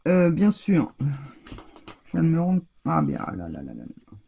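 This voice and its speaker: A woman's voice: deep voice, wildly swooping pitch, a little slow, gravelly, mellow, muffled recording, a little hiss or hum. A woman from France chatting casually and rambling with many fillers as she shows her craft purchases in a haul video. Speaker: craft vlogger.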